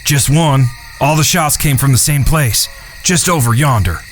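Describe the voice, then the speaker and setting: An actor playing a wry, shrewd gunslinging brother in an Old West audio drama. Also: male voice, mid-range voice, moderate pace, faint music behind, close to an everyday voice. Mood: focused, grumbling. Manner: folksy, wry. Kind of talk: audio drama western acting. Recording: noisy track with cricket-filled outdoor ambience.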